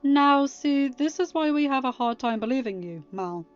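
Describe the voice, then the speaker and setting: Storybook duke woman reader, pompous doubter, formal delivery, high voice, slightly lo-fi. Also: animated, moderate pace, clear, resonant tone, soft background music. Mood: skeptical, pointed. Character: pompous, skeptical male duke on a council.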